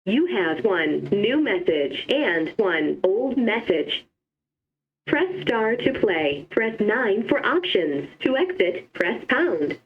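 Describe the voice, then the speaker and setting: A woman's voice: mid-range voice, sing-song, slow. An automated woman's voice reading formal, segmented voicemail menu prompts, played back through a telephone system. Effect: telephone system playback. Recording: dull lo-fi phone speaker playback.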